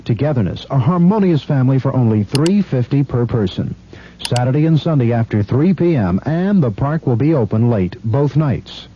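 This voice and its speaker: A man's voice: lively intonation, moderate pace. A man announcing a radio commercial in the manner of a mock sermon, exhorting listeners. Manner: preacherly, exhortatory. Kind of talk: mock-sermon advertising pitch. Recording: muffled radio recording, faint rumble.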